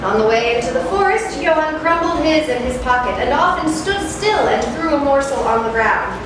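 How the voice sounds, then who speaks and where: A woman reading a fairy tale aloud at a live event in a measured, formal storytelling style. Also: high-pitched, lively intonation. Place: echoing venue at a carnival event, far from mic. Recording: distant, very echoey, noisy live event recording.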